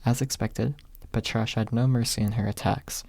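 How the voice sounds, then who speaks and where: A man narrating an ASMR audiobook in a hushed, husky voice, close to the microphone. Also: medium pitch, fairly even intonation, normal speed, no room sound. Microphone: close microphone, dry.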